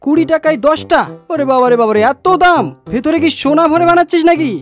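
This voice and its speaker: A fussy cartoon customer acted out by a voice performer rattles off demands in a high, fast voice. The delivery is bossy, greedy and whiny, nagging and wheedling over background music. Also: close to an everyday voice. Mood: excited, haggling. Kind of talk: Bengali comic cartoon dialogue.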